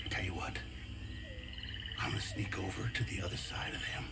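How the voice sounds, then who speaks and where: A man's voice: deep voice, a little fast, lightly acted. An actor playing a jaunty, boastful older camp cook and former navy man in a video game, theatrical and cajoling. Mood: conspiratorial. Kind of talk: video game character acting.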